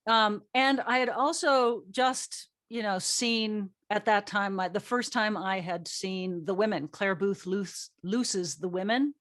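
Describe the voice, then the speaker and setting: A middle-aged or older woman who writes plays, hosting a casual group chat and telling stories in an animated, self-joking way with acted-out dialogue. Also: medium pitch, slow, hardly any pauses. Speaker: playwright and event host.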